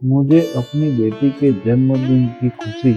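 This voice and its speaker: A man's voice: medium pitch, steady tone, unhurried, lo-fi sound, faint music behind, natural voice. A man playing a worried, loving merchant father in a Hindi moral story cartoon, speaking earnestly.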